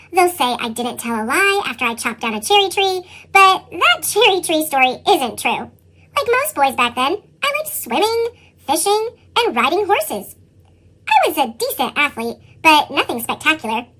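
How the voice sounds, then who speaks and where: Woman doing a squeaky chipmunk-voiced storybook boy. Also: full character voice. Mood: earnest, chirpy. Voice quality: squeaky, sped-up chipmunk sound. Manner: animated children's read-aloud delivery. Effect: pitched-up chipmunk voice effect. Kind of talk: scripted picture-book narration.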